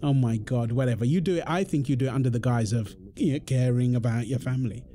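A man's voice: medium pitch, quick pace, warm tone, close to an everyday voice. A British man playing an exasperated, defensive husband in an audio roleplay, arguing in an insistent, repetitive way. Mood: exasperated, argumentative. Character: exasperated, defensive husband and father quarrelling indignantly. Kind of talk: dramatic one-sided argument roleplay.

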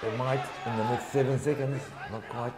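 A man co-commentating a kickboxing fight, chiming in with quick, agreeable remarks. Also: mid-range voice, crowd noise, background noise. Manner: agreeable, lively interjections.

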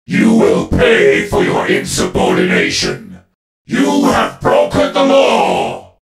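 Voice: rumbling low, slow, creaky, roomy sound, far from the mic, music in the background, full character voice. An actor voicing a stern, threatening bad-cop robot law enforcer with a hard-edged, commanding delivery for a video game. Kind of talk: video game character voice lines.

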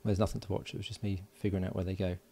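A man giving casual hands-on commentary as an electronics hobbyist, informal and halting as he thinks aloud while working. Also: low voice, little pitch movement, vocal fry, mellow. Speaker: electronics hobbyist presenting a build. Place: indoors at a workbench.

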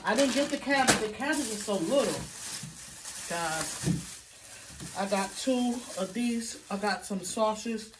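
A woman's voice: mid-range voice, a little slow, long pauses. A middle-aged woman chatting casually to her viewers in a home kitchen, with lots of fillers and "okay, guys" asides. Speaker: vlogger. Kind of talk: casual grocery haul vlog. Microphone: phone camera on counter, a few feet off. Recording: distant phone mic with background noise.

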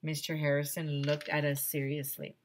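A woman's voice: sits low, normal speed, a little hiss or hum. A middle-aged woman reading a children's story aloud expressively, in the manner of a teacher, close on a headset microphone. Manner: animated, acts out dialogue. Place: small decorated home classroom.

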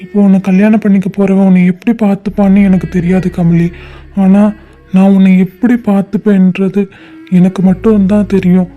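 A man's voice: high voice, level tone, a little fast, highs cut off, faint music behind, close to an everyday voice. A man playing a heartbroken Tamil young man. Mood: pleading. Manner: pleading, tearful and despairing. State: crying. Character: lovesick young man, grieving and desperate. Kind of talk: short-film drama acting.

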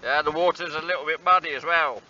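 A man's voice: on the high side, fast, pushed and bright. A man talking to himself while fishing on a stony harbour shore, wry and self-deprecating, filmed on a small handheld camera. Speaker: angler vlogger. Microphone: small handheld action camera. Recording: dull lo-fi camera audio with faint wind.

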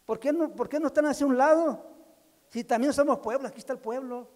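A man's voice: very high-pitched, fairly quick, darkish tone. An older man making an emphatic speech at a community consultation, repeating his points into a handheld microphone in a large hall. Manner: emphatic, repeats phrases, gestures with raised hand. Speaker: community speaker at a public consultation. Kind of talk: impassioned public address. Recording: echoey hall over a PA.

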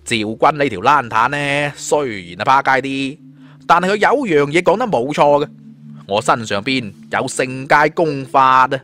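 A man's voice: mid-range voice, expressive, normal speed, pushed and bright. A man with a Cantonese accent voicing a confident, cunning young martial-arts hero in a story, taunting and glib. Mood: glib, persuasive. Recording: music under the voice.

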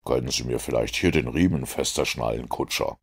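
A man voicing a cool, precise adult male detective, speaking slowly in a low, measured and assured way. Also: creaky, husky, full character voice. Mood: calm, assured.

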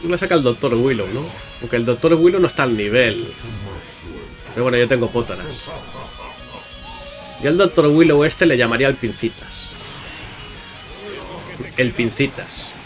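A man commentates a video game in a casual, chatty way, addressing his viewers as he plays. His voice sits at an average pitch, with playful exclamations thrown in. Music plays clearly behind him. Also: expressive, moderate pace, long pauses. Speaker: gaming YouTuber. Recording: music under the voice.

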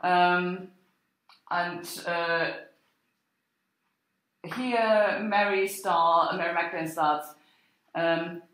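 Female academic lecturer, lowish pitch, hesitant and explanatory, thinking aloud at a very slow pace. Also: steady tone, a bit bright. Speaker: university lecturer. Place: indoor room with echo. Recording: echoey room.

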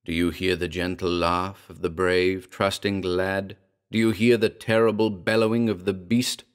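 A man with a very low voice reading the lines of a sharp, austere demon invoker who is really a boy, in a storybook. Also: level tone, normal speed, smooth, lightly acted.

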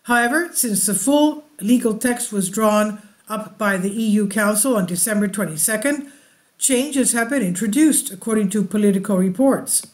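Woman, channel host, reading translated news, slow and deliberate, asides to viewers, home voice-over. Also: medium pitch.

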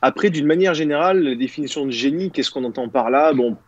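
A man's voice: fairly high pitch, fast talker, dry recording, dull audio. A man in his thirties talking as a guest on a video call from a small room, rambling with many fillers and restarts. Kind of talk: casual video-call discussion. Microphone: video call, webcam.

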